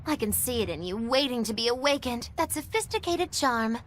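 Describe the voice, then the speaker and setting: A woman's voice: fairly high pitch, lively intonation, a little fast, a little hiss or hum, lightly acted. A woman playing a playful, teasing character in an anime-style video game, with a coaxing, theatrical delivery.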